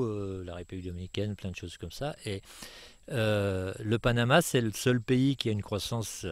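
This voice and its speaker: A retired Frenchman in his sixties being interviewed outdoors, talking with many fillers and restarts. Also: on the low side. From France. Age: 60s. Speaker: retired property owner. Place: outdoors on a jungle estate.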